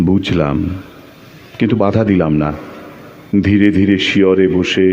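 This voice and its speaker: A man's voice: sits low, darkish tone, a little hiss or hum. An actor playing a man who fervently recounts a tender love in a Bengali audio drama. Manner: theatrical, fervent storytelling. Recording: dull lo-fi audio. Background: prominent music.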